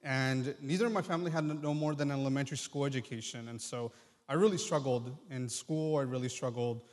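Male guest speaker, 20s, Triqui first language, medium pitch, sincere hesitant testimony in a reverberant hall. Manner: halting, earnest. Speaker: guest speaker, medical student. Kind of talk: personal testimony to congregation. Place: large church room, live address.

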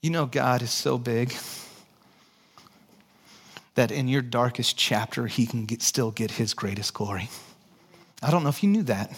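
A man with a Texan accent preaching a conversational, animated sermon, dropping to hushed low lines and jumping into lively high asides. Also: unhurried, long pauses, slightly rough. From Texas. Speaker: pastor. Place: church sanctuary.

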